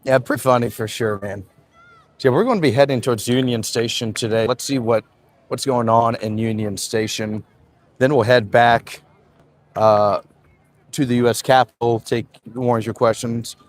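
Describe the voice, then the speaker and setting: A man's voice: medium pitch, normal speed. A man in his twenties hosting a casual livestream, chatting loosely while he walks and reads out viewer comments. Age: 20s. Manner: relaxed, loose, frequent "uh" and "man". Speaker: livestream host.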